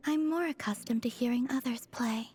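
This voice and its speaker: A woman playing a serene, gentle young moon maiden in an anime game, speaking softly and breathily in an unhurried, formal, dreamy way. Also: on the high side, natural voice. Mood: serene, softly curious. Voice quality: soft, breathy. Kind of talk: anime game character dialogue.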